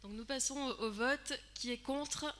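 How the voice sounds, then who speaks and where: A woman chairing a municipal council, briskly thanking speakers and calling the votes. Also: sits high, fairly bright tone, a little hiss or hum. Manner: brisk, routine, procedural. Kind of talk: chairing a vote, formal procedure.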